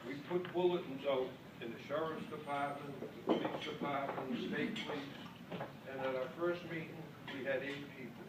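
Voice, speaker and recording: A man's voice: medium pitch, steady tone, normal speed. An older man from Boston with a Maine accent, telling a folksy, self-deprecating story in a speech at a club convention. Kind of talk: after-dinner speech, storytelling. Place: large hall with an audience. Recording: echoey hall, distant mic, loud background noise.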